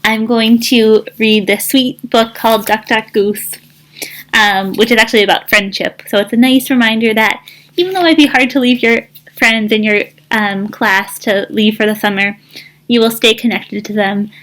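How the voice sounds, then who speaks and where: A woman speaking as a school counselor reading a picture book aloud to children, with an encouraging, expressive delivery. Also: fairly high pitch, moderate pace.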